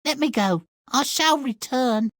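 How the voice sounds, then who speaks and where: Guy doing a snarky bitter fairy tale girl, high voice, deadpan insults, dark comedy game. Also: a little slow, dry recording. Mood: sardonic. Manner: deadpan, acid, articulate insults. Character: bitter, sardonic young woman, contemptuous fairy-tale heroine. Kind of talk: dark comic video game cutscene acting.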